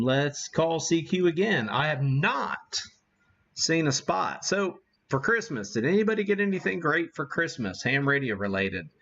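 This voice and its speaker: A man hosting a casual, unscripted live radio-hobby demo, thinking aloud in a halting way as he works the equipment. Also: mid-range voice, fairly bright tone, muffled recording. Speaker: livestream host, amateur radio operator.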